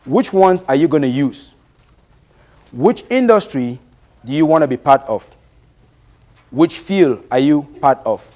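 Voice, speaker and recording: A fairly high-voiced man with a Cameroonian accent, who grew up speaking a Bantu language, delivers a motivational presentation. He speaks deliberately and with emphasis, posing rhetorical questions to his listeners as a practised presenter would. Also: animated, darkish tone. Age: late 30s.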